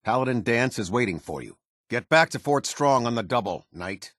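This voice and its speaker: A man voicing a stern, loyal military captain in a video game, speaking in a formal, measured and authoritative way. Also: mid-range voice, expressive, a bit bright, no echo, clean studio sound, lightly acted. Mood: commanding. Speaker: military officer giving orders and briefings. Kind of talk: scripted video game voice acting.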